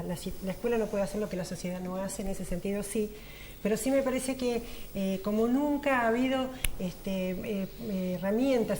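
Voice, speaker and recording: A woman's voice: mid-range voice, darkish tone, a little hiss or hum. A woman, speaking on a panel discussion, polite and hesitant with many fillers as she states partial disagreement.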